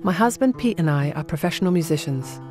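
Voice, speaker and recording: A woman in her forties who grew up in Iran, presenting a documentary in calm, reflective first-person narration. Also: lowish voice, quick pace. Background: music under the narration.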